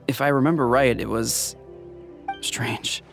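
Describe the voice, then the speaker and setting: A man's voice: mid-range voice, fairly even intonation, measured pace, gravelly, a bit bright, faint music behind. A man playing a flustered, worried villager in a video game, with a fretful, hesitant delivery. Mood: confused.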